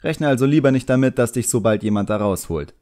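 A man's voice: mid-range voice, darkish tone, voice pushed up, natural voice. A man voicing a clever, defiant boy, a magician's apprentice, in an audiobook. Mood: threatening. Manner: hesitant, drawn-out threats.

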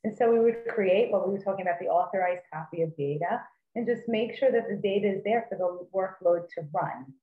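A woman's voice: medium pitch, a little fast. A woman in her forties explaining a work approach on a video call, earnest and given to repeating points for emphasis. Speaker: data leader panelist. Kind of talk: conference panel talk on video call. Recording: tinny, echoey video call audio.

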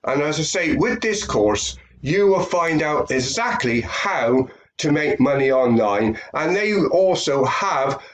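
A man's voice: fairly high pitch, lively intonation, slow, few pauses, rough recording. An older British man from the UK, nasal from a head cold, giving a rambling webcam talk full of fillers and repeats. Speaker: affiliate marketer. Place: small room indoors.

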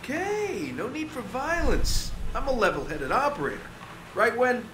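An actor playing an unhinged, chatty rogue CIA operative in a video game, rambling with flippant, jokey menace. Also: male voice, very high voice, very animated, normal speed, roomy sound. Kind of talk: video game cutscene acting.

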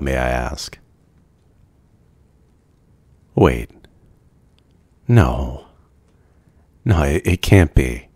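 A man's voice: very deep voice, dry recording. A man playing a lonely, courteous immortal vampire in an intimate ASMR roleplay, speaking formally and hesitantly. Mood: gentle, puzzled.

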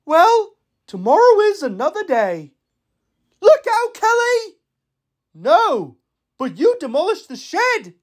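A man plays a polite young front loader from a cartoon, pitched high and full of bounce. The delivery is chirpy, earnest and very animated, always keen to help. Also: slow, long pauses, full character voice. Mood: helpful. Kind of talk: cartoon character voice reel.

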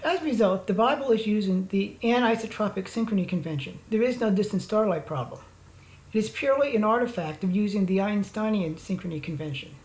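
A woman narrating a scripted slide lecture in a formal, measured reading manner. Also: medium pitch, lively intonation, darkish tone, rough recording.

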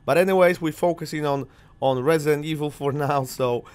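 A man's voice: a little high, brisk, darkish tone, smooth. Chatty polish man gaming on headset. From Poland. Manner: chatty, rambling, lots of fillers. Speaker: gaming channel host. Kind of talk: casual game commentary.

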